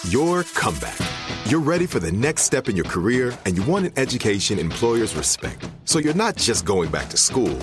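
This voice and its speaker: A man voicing an inspirational commercial in a slow, dramatic delivery. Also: mid-range voice. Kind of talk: commercial voice-over.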